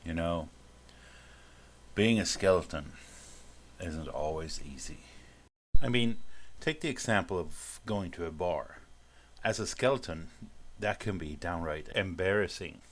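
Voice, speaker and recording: A man plays a weary skeleton in an animated short. The voice is low, and he grumbles in a wry, conversational way, as though talking to a film crew. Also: a little slow. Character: weary animated male skeleton being interviewed on camera.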